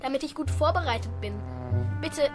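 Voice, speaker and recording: A woman's voice: sits high, moderate pace, over music, natural voice. A woman playing an earnest, well-mannered young schoolboy in a radio play, speaking precisely and politely. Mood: polite, hesitant. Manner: precise, polite, formal diction. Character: earnest, well-mannered, dutiful seven-year-old boy.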